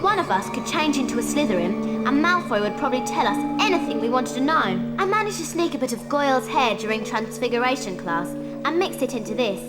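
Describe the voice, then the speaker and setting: Clever British schoolgirl witch, very high, animated, bossy and earnest, game cutscene over loud music. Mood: eager, planning. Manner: brisk, explanatory. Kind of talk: video game cutscene acting.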